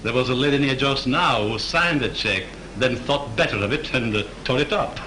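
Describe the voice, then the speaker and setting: A man's voice: mid-range voice, fairly quick, sharp tone, lightly acted. An actor playing a gossipy male florist who chats about customers, in 1930s film acting. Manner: gossipy, chatty. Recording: echoey, noisy 1930s film soundtrack.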